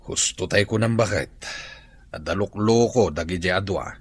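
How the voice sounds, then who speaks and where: An actor playing a respectful adult son who worries about the family farmland in a radio drama. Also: male voice, low voice, moderate pace, breathy edge, no echo. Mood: concerned. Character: dutiful adult son, anxious.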